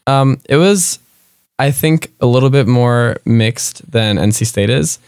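A man's voice: medium pitch, a little slow, darkish tone, clean tone, no room sound, clean studio sound. Young man rambling with lots of fillers. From South Florida, United States. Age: young adult. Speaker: guest, college student. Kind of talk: casual conversational interview.

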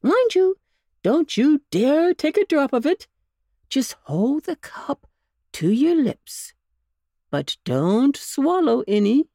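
A cheerful, clever old gentleman rabbit from a storybook, as a woman reader puts him on, raised high above her plain voice and drawn out slowly. He coaxes and jokes in a jolly, lilting tone that swings up and down, recorded close and dry. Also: very animated, no room sound, heavily acted. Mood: coaxing.

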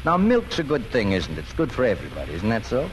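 An actor playing a patient, plain-spoken farmer father with a halting, folksy manner in a 1940s radio drama. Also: mid-range voice, huge pitch swings. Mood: patient, earnest. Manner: halting, gentle, folksy explaining. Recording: noisy old 1940s radio recording.

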